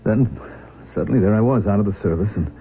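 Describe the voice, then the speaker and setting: An actor playing a gentle, apologetic older father in a 1970s radio drama, speaking carefully and hesitantly. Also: lowish voice, fast, vocal fry. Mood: earnest explaining. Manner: hesitant, careful, repeating words. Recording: dull lo-fi radio broadcast, faint noise.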